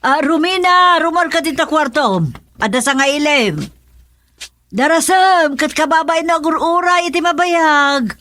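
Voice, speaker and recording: A woman's voice: sits high, a little slow, pushed and bright. A woman playing a pushy, scheming mother in a radio drama, speaking insistently with an Ilocano accent. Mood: fussy, welcoming. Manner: nagging, insistent. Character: pushy, scheming mother urging her daughter to marry money.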